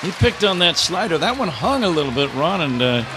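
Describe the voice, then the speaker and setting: Crowd and stadium noise fill the air behind a male radio announcer. He calls baseball live from the booth in a fairly high voice, following the play pitch by pitch. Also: sing-song, fairly quick. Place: ballpark broadcast booth. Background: ballpark crowd and ambient noise.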